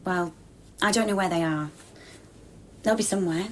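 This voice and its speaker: Actress as a hushed, eerie, clinging woman apparition. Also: lowish voice, moderate pace, a little hiss or hum, natural voice. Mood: confused, searching. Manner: hushed, searching, many short simple questions. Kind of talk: intimate radio drama acting.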